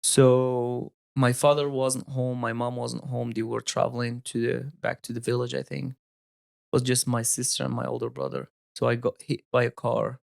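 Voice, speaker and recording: A man from Morocco in his thirties with a Moroccan accent, telling his life story as a podcast guest in halting English, often searching for words and restarting. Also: medium pitch, little pitch movement, creaky. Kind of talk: casual storytelling interview.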